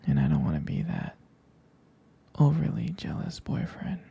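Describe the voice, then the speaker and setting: A man playing a jealous, protective, devoted boyfriend in an intimate ASMR roleplay, speaking in a breathy, halting, tender whisper. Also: rumbling low, huge pitch swings, warm tone, lo-fi sound, heavily acted. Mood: jealous, hurt. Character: jealous, protective, devoted young boyfriend soothing his partner.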